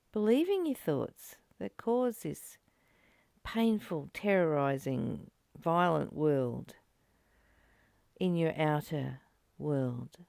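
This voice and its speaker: Woman doing a reflective spiritual reading, gentle n earnest, really slow, gravelly fry in the voice. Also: medium pitch, no echo, clean studio sound. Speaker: spiritual study teacher. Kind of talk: reflective spiritual teaching, reading passages and commenting.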